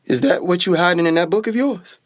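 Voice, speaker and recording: A man's voice: high-pitched, animated, a little fast, no room sound. A man playing a confident, dreamy older brother and aspiring pilot in a radio play, with an earnest, folksy storytelling manner. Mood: teasing, coaxing. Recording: music behind a dry voice. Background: music.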